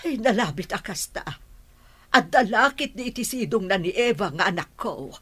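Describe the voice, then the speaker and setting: An actress plays a worried village mother with an Ilocano accent. Her voice is husky yet sharp-edged, rising and falling widely with anxious questioning in radio drama style. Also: mid-range voice, animated, moderate pace.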